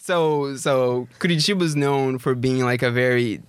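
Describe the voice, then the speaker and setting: A man's voice: mid-range voice, unhurried, smooth, no echo. A young man, chatting casually as a co-host and often stopping to restart his sentences. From southern Brazil. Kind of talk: casual chat.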